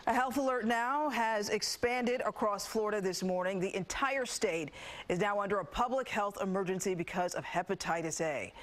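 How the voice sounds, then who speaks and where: A woman's voice: mid-range voice, normal speed. A woman with an American accent reads scripted TV news as an anchor. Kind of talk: scripted newscast narration.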